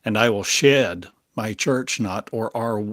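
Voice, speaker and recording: A man delivering a channeled prophetic monologue in halting, fragmented, oracular phrases full of coined words. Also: on the low side, a bit bright, no room sound, studio quality.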